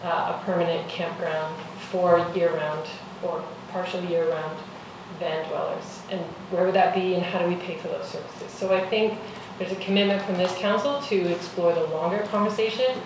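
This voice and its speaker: A woman chairing a council meeting, speaking in a measured, reassuring way with some ums. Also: fairly low pitch, steady tone. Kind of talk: formal meeting chair, casual phrasing. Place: council chamber. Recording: echoey council chamber, far mic, noisy.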